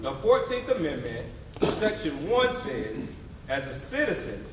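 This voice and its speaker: A man's voice: a little high, very animated, unhurried, background noise. In a heavily echoing hall, away from the mic, a man declaims in a raised voice. He narrates a theatre piece emphatically, like a preacher working a call and response. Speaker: stage narrator. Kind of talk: dramatic readers theatre oration. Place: theatre stage before a responding audience. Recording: very echoey live hall, distant mic.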